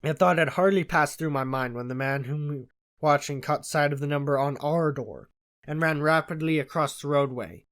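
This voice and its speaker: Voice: mid-range voice, normal speed, fairly bright tone. An audiobook reader giving scripted first-person narration of a story.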